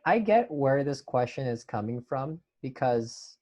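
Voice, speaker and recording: Host doing a casual q&a, reading fan questions out, pretty enthusiastic, mid pitched voice. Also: lively intonation, measured pace, warm tone, lo-fi sound. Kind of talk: casual panel Q&A.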